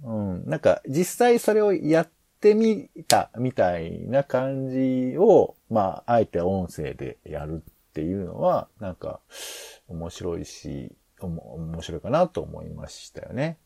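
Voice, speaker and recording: A low-pitched man in a casual planning chat, working out his thoughts as he goes. His intonation rises and falls dramatically, and his tone is soft-edged and mellow. He rambles and hesitates as a podcast host talking things over. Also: big pitch swings, a little slow, vocal fry, husky, dry recording. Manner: hesitant, rambling, thinks aloud.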